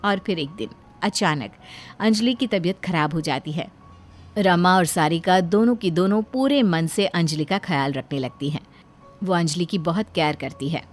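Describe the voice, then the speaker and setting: A woman's voice: fairly low pitch, moderate pace, faint music behind. A woman narrating a scripted cartoon story as a storyteller.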